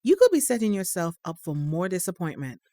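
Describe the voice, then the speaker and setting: A woman's voice: on the low side, very animated, a little fast, dark tone, no room sound. Firm, protective mother, dry sound. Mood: stern, worried. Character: firm, protective, teasing mother of a teenage boy. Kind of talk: naturalistic audio drama dialogue.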